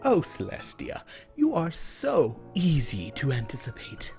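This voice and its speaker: Manic, swooping chaos villain. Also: male voice, a little high, wildly swooping pitch, vocal fry, darkish tone, soft background music, pitched above the performer's own voice, exaggerated voice. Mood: smug. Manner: theatrical, taunting, drawn-out words. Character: manic, mocking male chaos spirit villain, theatrical schemer.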